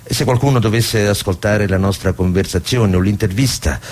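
An actor playing a guarded, courtly old former diplomat with a husky voice and a measured, formal manner. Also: male voice, fairly low pitch, darkish tone, lightly acted. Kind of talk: radio drama acting.

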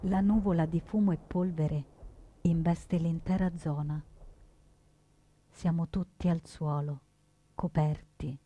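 A woman playing an earnest, proud young partisan who narrates a scripted stage drama with deliberate, dramatic phrasing. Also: sits low, mellow, husky, dry recording, full character voice. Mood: grave. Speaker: storyteller narrator.

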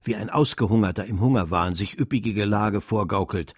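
A man narrating a literary radio drama in a measured, dramatic storytelling manner. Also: fairly low pitch, slightly rough, dry recording, studio quality. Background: music.

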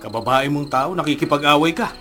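A man acting a worried, gently scolding middle-aged father in a radio drama. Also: on the high side, fast talker, lightly acted. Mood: concerned, scolding. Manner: concerned, parental questioning. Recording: music playing behind the voice.

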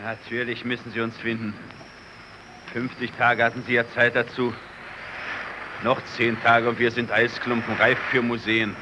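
An actor playing a quick-tempered, sarcastic stranded Italian lieutenant in a German radio play, given to barking orders. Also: male voice, mid-range voice, monotone, close to an everyday voice. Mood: bitter, sarcastic. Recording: noisy old radio drama recording.

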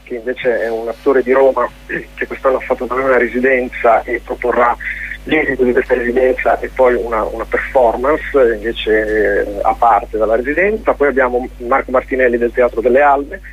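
An Italian man with a husky, slightly rough voice at a middle pitch. He speaks as a festival director being interviewed on the radio, haltingly, circling back on words. The recording is dull and lo-fi. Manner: hesitant, halting, with repeated words.

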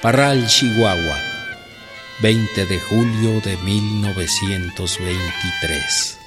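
A man's voice: on the low side, takes it slowly. A man giving solemn, scripted narration for a radio drama. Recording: loud guitar and string music behind.

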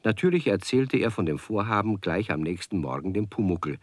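A man narrating a children's radio play as a measured, expressive, slightly wry storyteller. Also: on the low side, dry recording.

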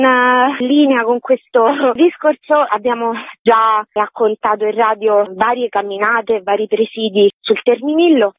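A woman's voice: mid-range voice, no echo. A woman speaking as a guest in an informal interview, explaining in long, articulate sentences over a phone. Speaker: activist interview guest, event organiser. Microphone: telephone. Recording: narrow tinny phone line.

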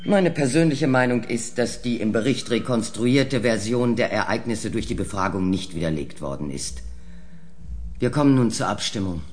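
An actor playing a male official chairing an inquiry in a radio play, speaking in a measured, formal way. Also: lowish voice, animated, a little mellow, lightly acted.

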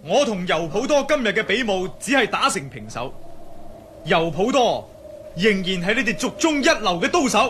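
Daring adventurer, Hong Kong accent, fairly high pitch, courteous and expressive, radio play, faint noise. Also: male voice, moderate pace. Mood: hearty, magnanimous. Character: bold, courteous Chinese male adventurer hero. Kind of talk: radio drama acting.

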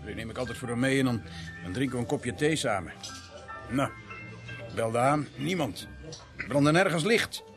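Actor as shaken, creaky-voiced village man. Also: mid-range voice, animated, soft background music, natural voice. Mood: uneasy. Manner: halting, haltingly recounting in short phrases. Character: shaken village man, Albert, reporting a grim discovery. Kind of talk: radio drama acting.

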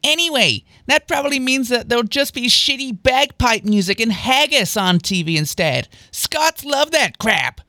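A sarcastic young man, played by a male storyteller, quick to dismiss everything. His voice is pitched well up, with a sneering, scornful manner suited to a comic story. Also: expressive, pushed and bright, no echo, clean studio sound, exaggerated voice. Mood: dismissive.

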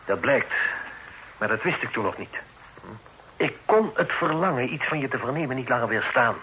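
An actor playing a proud, protective middle-aged father in a period radio drama, speaking formally and with measured authority. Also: mid-range voice, animated, pushed and bright, a little hiss or hum, rough recording. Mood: measured, confiding. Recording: old radio play recording.

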